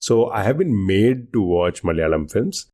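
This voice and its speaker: A man's voice: fairly low pitch, very animated, normal speed, darkish tone. A man whose first language is Hindi, co-hosting a podcast in casual, enthusiastic chat with lots of fillers and restarts. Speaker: podcast co-host.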